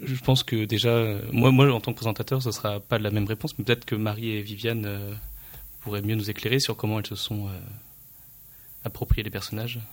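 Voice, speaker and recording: A stage actor with a low, level voice speaks haltingly in an interview. He fills his pauses with "euh" and thinks aloud as he goes. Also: little pitch movement. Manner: halting, thoughtful, many fillers. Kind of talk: interview answers.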